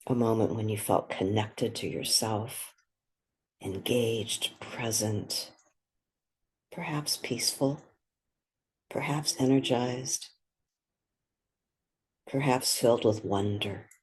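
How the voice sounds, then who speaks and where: A meditation guide speaks very slowly in a soft, husky, breathy voice. The delivery is gentle and unhurried, with short phrases set apart by long pauses, guiding the listener step by step. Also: medium pitch.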